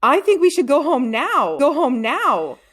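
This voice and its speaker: A woman's voice: sits high, big pitch swings, a little slow, voice pushed up. A woman reading a storybook, voicing an urgent schoolboy caught up in an adventure. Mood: anxious. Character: two schoolboy friends on a secret adventure.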